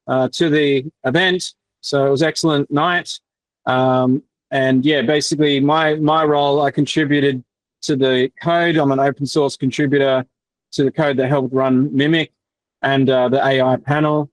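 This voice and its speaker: A man's voice: on the high side, no room sound, rough recording. A man presenting a developer workshop on a video call, explaining casually with many fillers and restarts.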